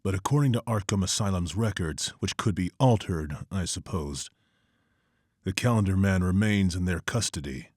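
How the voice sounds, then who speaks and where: A brooding vigilante detective speaks very low and close, with no room around him. He is terse, serious and measured, in motion comic drama. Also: expressive, a little mellow, no echo. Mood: analytical. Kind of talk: scripted motion comic acting.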